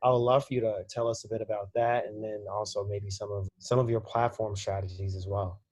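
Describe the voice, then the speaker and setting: A man's voice: fairly low pitch, fairly even intonation, fairly quick, darkish tone, no echo, poor audio. A man hosting a podcast, upbeat and informal, introducing and questioning his guest. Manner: upbeat, calls guest brother. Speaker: podcast host. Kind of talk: casual podcast interview.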